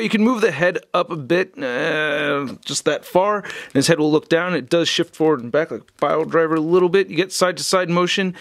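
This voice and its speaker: A man hosting a casual, unscripted review video, talking in a chatty, informal, slangy way. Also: a little high. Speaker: toy review video host.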